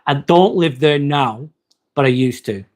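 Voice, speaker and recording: Chatty Manchester-accented man, slightly high voice. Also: unhurried. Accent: northern English, near Manchester. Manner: chatty, friendly, frequent "um" fillers. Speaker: livestream host. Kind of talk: casual livestream chat reading comments.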